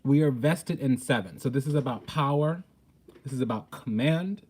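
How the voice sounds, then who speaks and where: A man's voice: medium pitch, moderate pace, darkish tone, husky. A man giving an informal spiritual tarot reading in a blunt, sassy, profane way with emphatic, theatrical delivery. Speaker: tarot reader, host.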